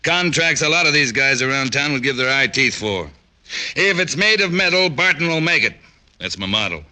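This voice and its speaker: Forceful, blustering factory boss. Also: male voice, medium pitch, animated, moderate pace. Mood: aggrieved, forceful. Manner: gruff, blustering, slangy tough talk. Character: blunt, pushy, self-made factory boss, male. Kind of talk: mid-century radio drama acting.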